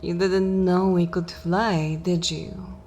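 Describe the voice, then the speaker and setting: A haughty, ancient vampire mistress, played by a woman, speaks in a lowish female voice at a very slow, deliberate pace. She is cruel and commanding, with formal, scornful phrasing suited to dark fantasy ASMR. A faint bed of ambient noise sits behind her. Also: level tone. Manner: imperious, slow, disdainful, formal wording.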